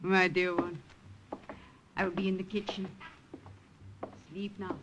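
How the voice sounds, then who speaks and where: A woman's voice: on the low side, flat delivery, a little slow, noisy background, close to an everyday voice. An actress playing a doting, steadfast elderly Italian grandmother with an Italian accent in an old-time radio drama. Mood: tender. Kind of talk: 1940s-50s radio drama acting.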